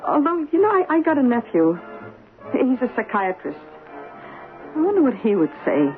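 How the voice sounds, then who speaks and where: A woman's voice: high voice, very animated, normal speed, soft background music. Plain spoken working class lady in old radio drama, hesitant n thoughtful, muffled audio. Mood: musing. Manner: ruminative, hesitant, folksy. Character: plain-spoken, shrewd working-class woman musing over a murder. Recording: dull old radio recording.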